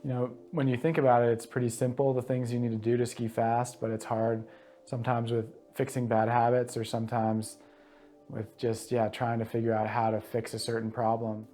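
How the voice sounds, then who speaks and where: Level-voiced young American ski racer. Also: male voice, mid-range voice, a little fast, soft background music. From Massachusetts, USA. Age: 20s. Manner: relaxed, matter-of-fact, level delivery. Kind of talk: documentary interview.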